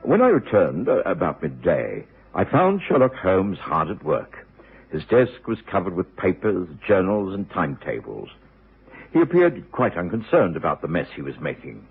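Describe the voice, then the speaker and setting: Deep, gravelly British gentleman storyteller. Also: wildly swooping pitch, moderate pace, heavily acted. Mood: measured storytelling. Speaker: narrator and supporting lead. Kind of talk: dramatic radio narration. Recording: narrow-band old radio recording, faint music.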